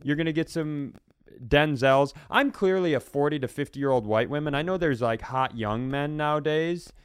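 Male comedy podcaster, slightly high smooth voice, dry close sound, casual riffing with emphatic, sweary mock outrage. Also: normal speed, no room sound.

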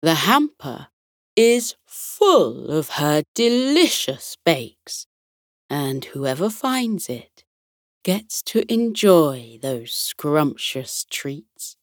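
A woman voicing a kindly male teddy bear sea captain with a deep, calming, slow and courteous way of talking. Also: dry recording, studio quality, heavily acted. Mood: inviting, unhurried.

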